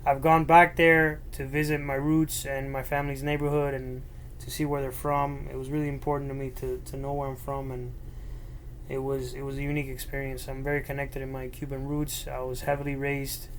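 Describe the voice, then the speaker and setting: A young man from Miami, Spanish his first language, talks earnestly and in a rambling way into his laptop in his bedroom. His voice stays fairly level and even in pitch, and a faint hum of room ambience sits behind him. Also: a little fast, low-quality recording. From Miami, United States. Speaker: podcast host. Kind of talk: solo personal storytelling monologue. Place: bedroom at home, alone. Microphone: laptop microphone.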